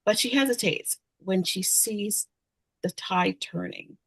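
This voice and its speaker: A woman narrates the action of a screenplay at a table read. Her voice is mid-pitched for a woman. The sound is very dry, with little room. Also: slow pace, creaky, breathy edge, no echo. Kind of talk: scripted narration of screenplay directions.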